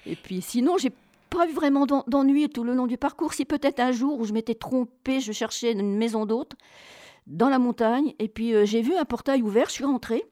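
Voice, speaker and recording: A woman telling travel stories as an interview guest, chatty, colloquial and expressive, acting out bits of dialogue. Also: a little high, moderate pace, no room sound, studio quality. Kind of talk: animated travel storytelling.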